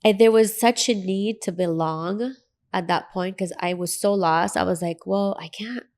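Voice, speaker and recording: A woman from Lebanon, a native Arabic speaker who sounds American, shares personal stories as an interview guest. Her voice has a medium pitch and a noticeable creak. She talks earnestly, repeating things for emphasis. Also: fairly even intonation, normal speed, a little mellow.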